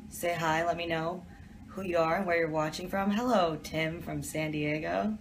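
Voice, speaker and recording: Low-voiced woman chatting on a live stream. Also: fairly even intonation, normal speed, slight background noise, poor audio. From Detroit, USA. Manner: reads questions aloud and answers in a chatty, offhand way. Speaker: TV panelist answering viewer questions. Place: office.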